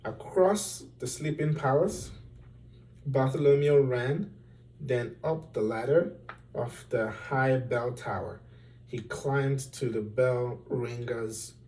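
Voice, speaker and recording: A man's voice: medium pitch, a little mellow, recorded from a distance, a little hiss or hum, poor audio. A man reading a children's story aloud expressively. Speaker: storybook reader.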